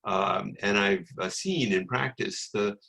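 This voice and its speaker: An older man from New York City, speaking with that city's accent. His voice is low, gravelly and quite bright and forceful. He introduces a panel in a semi-formal webinar style, pausing often as he forms his sentences. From New York City, United States.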